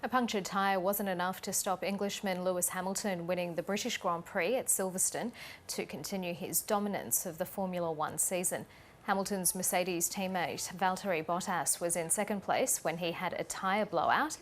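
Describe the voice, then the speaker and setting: A woman's voice: lowish voice, fairly even intonation, normal speed, a little hiss or hum. A woman with an Australian accent reading scripted news as a presenter.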